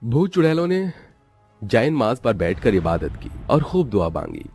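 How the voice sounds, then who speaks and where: A man's voice: medium pitch, sing-song, normal speed, darkish tone, soft background music. A man narrating a scripted story in a dramatic storytelling style.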